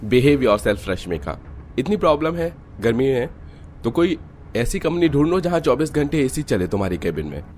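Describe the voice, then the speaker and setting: Man doing annoyed cartoon boss, telling off staff, voice jumps higher, sharp and cross. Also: sing-song, normal speed, slight background noise, natural voice. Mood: angry. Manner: sharp, scolding. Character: irritated male office boss, scolding. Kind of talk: cartoon story dialogue.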